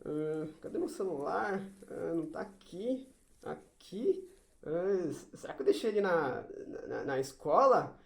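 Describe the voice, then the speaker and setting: A sulky, exasperated schoolboy, acted by a woman in an amateur audio drama. He stammers and whines, easily flustered, with very lively swings in pitch. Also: unhurried, gravelly. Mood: puzzled. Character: whiny, sulky schoolboy who hates science, easily flustered.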